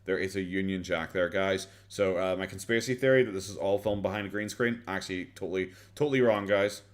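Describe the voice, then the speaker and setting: A man's voice: low voice, fast. An Irish man streaming a casual reaction chat, sarcastic, swearing often and laughing a lot.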